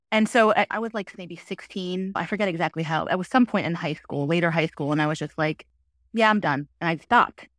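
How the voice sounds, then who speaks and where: A woman who is a priest, telling her story as a guest on a podcast in an earnest, expressive way. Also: no echo. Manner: earnest and expressive, with lively pitch jumps. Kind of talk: conversational interview storytelling.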